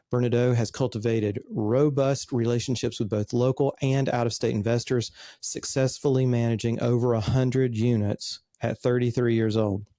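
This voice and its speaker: Man, fifties, interview host, mellow, dry recording, casual with fillers. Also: mid-range voice, dry recording. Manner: reads introduction, then chats loosely with fillers. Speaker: podcast host.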